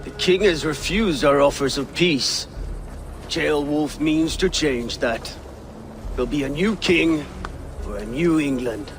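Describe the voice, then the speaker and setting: A stern Viking chieftain who gives slow, curt commands in a slightly raised voice. Faint noise sits under the game audio. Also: male voice, on the high side. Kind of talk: video game cutscene acting.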